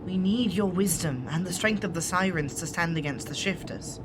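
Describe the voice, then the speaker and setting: A woman's voice: on the low side, moderate pace, background noise, lightly acted. A woman playing an earnest, persuasive exiled shapeshifter in a tabletop roleplay.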